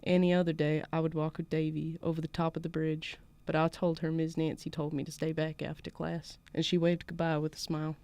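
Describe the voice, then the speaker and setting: A woman's voice: sits low, level tone, fairly quick, few pauses, darkish tone, smooth, dry recording. A woman voicing a defiant small-town schoolgirl in a put-on Southern US accent, narrating a first-person story. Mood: wry, defiant. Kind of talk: first-person literary fiction read aloud.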